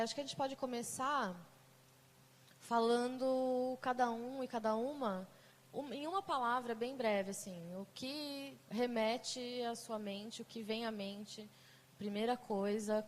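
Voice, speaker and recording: A woman's voice: a little high, unhurried, slight background noise. Chatty Brazilian woman leading a group talk. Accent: Brazilian Portuguese. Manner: chatty, with many fillers and restarts. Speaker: mediator.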